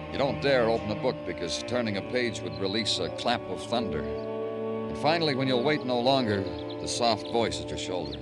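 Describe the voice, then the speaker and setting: A man's voice: on the low side, natural voice. An American man playing a hard-boiled, world-weary police detective in an old radio crime drama, with clipped, wry, florid delivery. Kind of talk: old-time radio crime drama acting, noir narration. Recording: old radio broadcast with music underneath.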